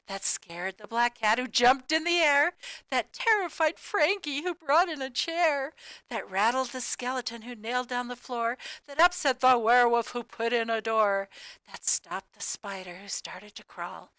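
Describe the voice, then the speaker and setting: A woman reading a rhyming picture book aloud to children in an expressive, sing-song way with emphatic key words. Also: fairly high pitch, clear, resonant tone, dry recording, low-quality recording. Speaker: storybook reader.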